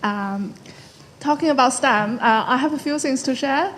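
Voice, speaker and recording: A woman from China, answering spontaneously as a panel guest and speaking thoughtfully in short phrases with frequent pauses. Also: high-pitched, a bit bright, a little hiss or hum. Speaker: panel guest, tech founder.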